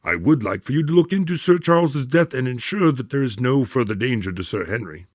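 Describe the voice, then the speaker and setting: An actor playing a troubled, earnest country doctor in a radio drama, explaining things in a formal storytelling manner. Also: male voice, medium pitch, lively intonation, brisk, dry recording, clean studio sound, natural voice. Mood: troubled, hesitant. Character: earnest, troubled male country doctor recounting strange death. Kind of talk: modern radio drama acting.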